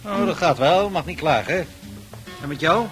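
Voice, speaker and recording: An actor playing an easygoing, bantering bartender in a Dutch radio drama, with familiar, unhurried talk. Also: male voice, on the high side, expressive, a bit bright, poor audio. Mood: friendly. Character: easygoing male bartender, bantering but cautioning. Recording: music playing behind.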